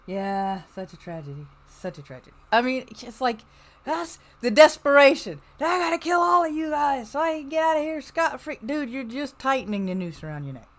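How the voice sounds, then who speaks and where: Woman, streamer, casual live commentary, wry and playful, highish voice. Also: animated, brisk. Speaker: streamer reacting to old radio shows.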